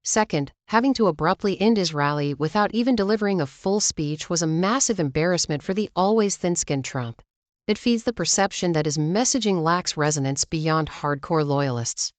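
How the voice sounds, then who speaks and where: A woman's voice: lowish voice, lively intonation, normal speed, dry recording. A woman delivering scripted news narration as a channel's narrator.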